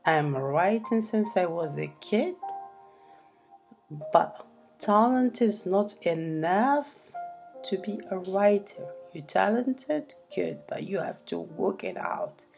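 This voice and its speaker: Moroccan-born woman, arabic first language, slow and kinda gravelly, rambles w lots of "like", faint music behind. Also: on the low side, lively intonation, darkish tone. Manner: rambling, frequent restarts and "like" fillers. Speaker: self-publishing tutorial host. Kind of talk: unscripted spoken tutorial.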